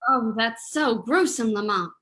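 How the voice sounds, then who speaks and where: Woman playing a high-voiced, nervous plucky lady sidekick. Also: animated, measured pace, bright, forceful tone. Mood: curious, uneasy. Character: nervous but plucky female companion of detective. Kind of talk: old-time radio drama reading.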